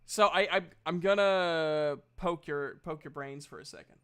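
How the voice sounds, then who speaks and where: A man casually narrating a tabletop game as game master, halting and thinking aloud as he goes. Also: a little high, fairly bright tone, clear, resonant tone.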